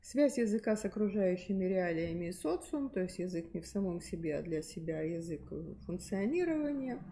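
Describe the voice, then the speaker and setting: A woman's voice: lowish voice, lively intonation, a little fast, dark tone, a little hiss or hum. A middle-aged woman giving an unscripted presentation on textbooks to fellow teachers, explaining with frequent fillers and restarts. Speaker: presenter at a teachers' methods meeting.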